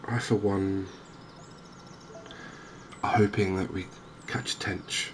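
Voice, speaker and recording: A man speaks softly in an ASMR gaming style, gentle and unhurried. His voice is on the low side and very even, with little rise or fall. Clear ambient noise runs behind him as he pauses and chats to the viewer. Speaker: gaming video host. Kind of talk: softly spoken ASMR game commentary.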